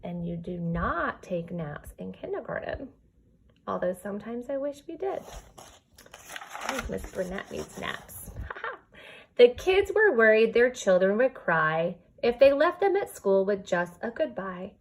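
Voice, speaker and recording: A woman with a mid-pitched voice reads a children's story aloud as a kindergarten teacher would. Her delivery is expressive and addressed directly to young children, moving between the rhyming text and chatty asides. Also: measured pace, slight background noise, poor audio. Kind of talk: animated read-aloud of a children's picture book with chatty asides.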